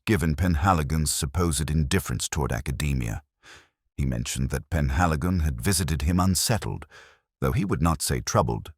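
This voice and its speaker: A man voicing a very deep, brooding police inspector. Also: slightly rough, dry recording, clean studio sound, heavily acted. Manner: measured, solemn, literary phrasing. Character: brooding older male police inspector narrating his case, melancholy and precise. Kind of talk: scripted detective story narration.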